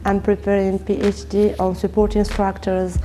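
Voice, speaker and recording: A woman from Morocco, a PhD candidate, answering interview questions at a conference with hesitant pauses. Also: medium pitch, monotone, music in the background. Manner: hesitant, soft-spoken.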